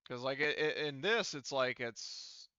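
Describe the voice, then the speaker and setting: A man chatting casually as a game master, informal and sweary, thinking aloud as he troubleshoots software over voice chat. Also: mid-range voice, lively intonation, measured pace, smooth, no echo, dull audio. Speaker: game master of an online tabletop roleplaying game.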